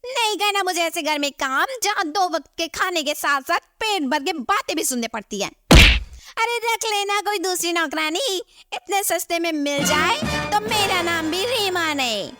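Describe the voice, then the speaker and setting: A woman voicing a cheeky, sing-song housemaid in an animated story, with a theatrical, drawn-out delivery. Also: extremely high pitch, faint music behind, exaggerated voice. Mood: defiant. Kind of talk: Hindi animated moral story voice acting.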